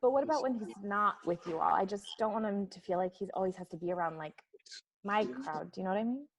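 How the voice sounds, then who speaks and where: A woman's voice: mid-range voice, lively intonation, fast talker. An actress playing an earnest, blunt young woman with a probing, insistent manner in a comedic script read. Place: recorded remotely at home on a video call.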